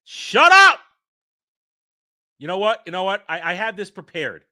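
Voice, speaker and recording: A man hosting a casual livestream, rambling and excitable, restarting his sentences often. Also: high-pitched, a little slow, no room sound, studio quality. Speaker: livestream host.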